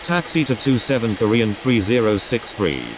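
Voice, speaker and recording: A man's voice: on the low side, animated, moderate pace, background noise, lightly acted. A man voicing a businesslike airline pilot in a flight game, giving scripted radio calls.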